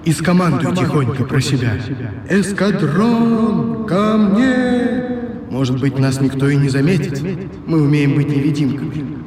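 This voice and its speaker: An actor playing a tired, kindly cavalry rider from a dream in a radio drama, speaking in a measured, reassuring way. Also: male voice, on the high side, animated, a crowd in the background. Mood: hushed command. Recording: echoing long reverb, distant from mic.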